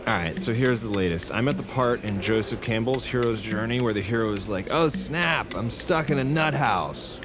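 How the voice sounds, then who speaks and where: A man playing a wry, bewildered newcomer confined in an asylum, halting and sardonic, in naturalistic audio drama style. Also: medium pitch, music in the background, natural voice. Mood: wry, uneasy.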